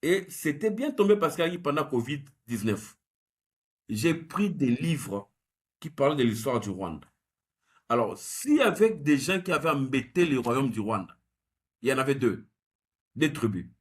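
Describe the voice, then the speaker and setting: A man, explaining history in a measured, analytical interview answer. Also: mid-range voice, sing-song. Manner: measured and explanatory, mixing French with Lingala. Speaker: guest researcher.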